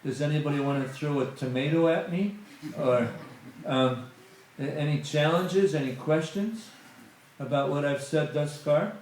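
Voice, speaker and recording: A man's voice: a little high, unhurried, a little mellow, a little hiss or hum. A middle-aged man giving a conversational spiritual talk at a temple gathering, full of "you know" asides. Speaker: guest speaker at a temple satsang. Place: temple hall. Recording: very echoey hall.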